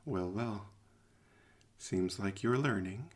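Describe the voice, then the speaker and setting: A man voicing a guarded, calculating woman traveler who bargains in a hushed, deliberate, withholding way in an audio drama. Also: on the low side. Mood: secretive, measured. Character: guarded, calculating female traveling companion, soft-spoken bargainer.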